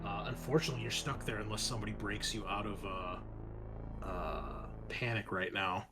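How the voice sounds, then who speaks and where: A man's voice: lowish voice, steady tone, normal speed. A man working as game master, narrating a tabletop roleplaying game and explaining the rules in an informal, sweary way. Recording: music playing behind the voice. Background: background music.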